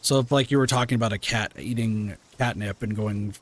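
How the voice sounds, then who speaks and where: A man's voice: on the low side, steady tone, a little fast. A man with a soothing voice, casually chatting with viewers while streaming a game and rambling with lots of fillers and restarts, now and then swearing mildly. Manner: mild swearing.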